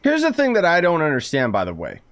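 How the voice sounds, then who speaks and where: A man's voice: on the high side, huge pitch swings, fast, a bit bright, a little hiss or hum. A young man streaming gameplay, talking casually and a little sarcastically about the story. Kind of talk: gameplay commentary. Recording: game music behind.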